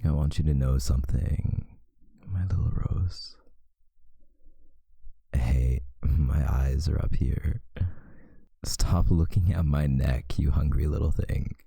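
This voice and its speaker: A man voicing a possessive yet tender male vampire lover in an intimate, hushed ASMR roleplay, often slipping into a whisper. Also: no echo, clean studio sound, heavily acted. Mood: teasing, firm. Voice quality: very deep, breathy, gravelly, often whispering. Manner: slow, hushed, soothing, seductive.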